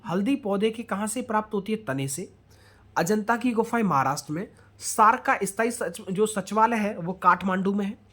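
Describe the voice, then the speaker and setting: Female exam coach, mid-range voice, question-and-answer revision delivery, some English mixed in. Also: mid-range voice. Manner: asks questions then answers them, addressing students directly; mixes English words into speech. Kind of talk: rapid-fire quiz revision lesson.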